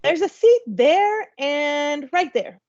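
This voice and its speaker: A woman reading a storybook in the role of a brisk, firm female schoolteacher giving orderly instructions to a class. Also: high voice, animated, darkish tone, muffled recording, voice pushed up, exaggerated voice. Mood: brisk, commanding.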